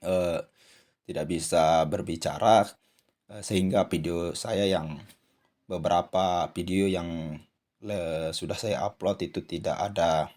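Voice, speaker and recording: A man hosting his channel, giving a spoken commentary with a hoarse voice and many hesitant fillers. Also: low voice, steady tone, slow, long pauses, darkish tone. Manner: addresses viewers as "saudara sekalian", frequent "eh" fillers. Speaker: channel host. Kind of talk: commentary monologue.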